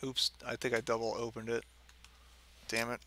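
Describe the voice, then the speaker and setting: A man's voice: medium pitch, monotone, moderate pace, bright, forceful tone. A man hosting a gaming stream, mixing casual commentary and mock dramatic reading with sarcastic, self-deprecating asides.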